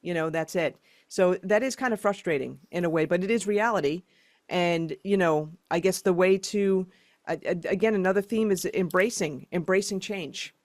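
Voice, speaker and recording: A woman's voice: on the low side, normal speed, darkish tone, no echo, clean studio sound. A woman hosting a podcast interview, talking in a rambling way with many fillers. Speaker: podcast host. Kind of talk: interview chat.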